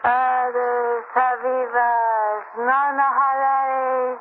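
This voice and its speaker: An actress playing a sly, greedy woman fortune teller in an old-time radio drama, theatrical and mysterious with halting phrasing. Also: sits high, flat delivery. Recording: tinny, noisy old radio recording.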